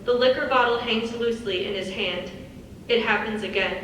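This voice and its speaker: A woman's voice: a little high, normal speed, fairly bright tone. A woman reads stage directions as the narrator of a live dramatic script reading. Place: large echoey indoor hall on stage. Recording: very echoey hall, distant mic, faint noise.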